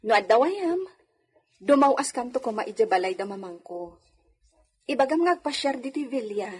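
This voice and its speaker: A woman's voice: fairly high pitch, big pitch swings, moderate pace, a little mellow. A woman playing a kind, humble young wealthy lady, speaking graciously in an Ilocano radio drama. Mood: gently asking.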